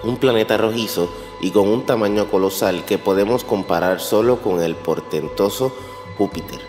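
Low-pitched male narrator in long reverb. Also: moderate pace, darkish tone. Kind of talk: scripted documentary narration. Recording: roomy long reverb over faint music. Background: faint music.

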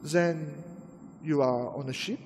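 A man's voice: fairly high pitch, slow, a little mellow, slight background noise. A man playing a stern, learned doctor who questions a hypnotized woman in a radio drama. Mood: probing. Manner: firm, probing questions. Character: stern learned male doctor hunting a vampire. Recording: long reverberant room.

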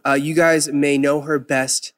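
A woman hosting a podcast interview in a casual, eager way, reacting with many quick backchannels. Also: low voice, little pitch movement. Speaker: podcast host.

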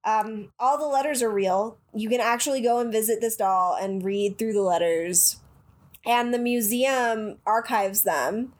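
A woman's voice: fairly high pitch, a little slow. Woman with a nasal cold, chatting. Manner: swearing, animated, acts out quoted voices. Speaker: podcast co-host. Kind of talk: casual chat.

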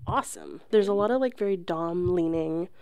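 A woman's voice: fairly low pitch, moderate pace, dark tone, clean tone, dry recording. A woman co-hosting a podcast in casual, slangy, emphatic chat with some swearing, close on the mic. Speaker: podcast co-host. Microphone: close podcast microphone.

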